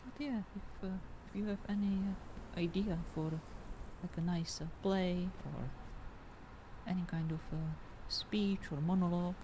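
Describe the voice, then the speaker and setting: A woman improvising in-character conversation in an online game, halting as she searches for words. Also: lowish voice, noisy background.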